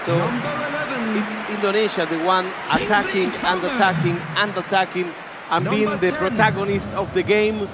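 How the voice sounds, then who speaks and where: A man's voice: high voice, steady tone, moderate pace, heavy background noise. An Argentine-accented man, a native Spanish speaker, giving live esports football commentary and repeating short phrases for emphasis at a venue. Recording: live venue with loud background ambience.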